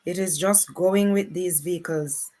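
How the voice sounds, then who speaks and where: Jamaican woman, live stream host. Lowish voice, very slow, casual commentary with repeated exclamations. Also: mellow. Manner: unhurried, repetitive exclamations.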